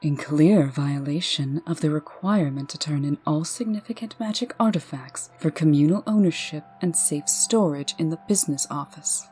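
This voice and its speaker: A woman plays a proper, no-nonsense administrator in a comic drama. Her voice is low and husky, her delivery dry and businesslike. Music plays behind her. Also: normal speed, hardly any pauses, dark tone. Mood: stern, impatient.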